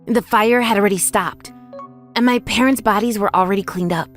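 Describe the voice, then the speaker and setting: A woman's voice: medium pitch, fairly quick, natural voice. A woman voicing a frightened, lonely orphaned five-year-old boy, narrating his own story earnestly and emotively in an anime-style dub. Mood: wistful, lonely. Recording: voice over background music.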